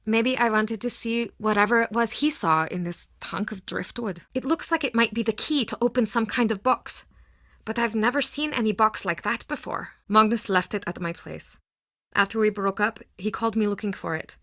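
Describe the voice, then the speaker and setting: Guarded actress playing a proud townswoman, bright voice. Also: mid-range voice, moderate pace, no room sound, studio quality, lightly acted. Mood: guarded, regretful. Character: guarded, proud townswoman, heir to an old ship, wounded by a breakup. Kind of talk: video game voice acting.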